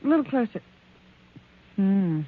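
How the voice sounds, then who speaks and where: A woman's voice: medium pitch, big pitch swings, unhurried. A woman acting a dramatic part in a 1940s radio drama, on a muffled old recording. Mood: brisk, inquisitive. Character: anxious female secretary or accused wife, easily alarmed.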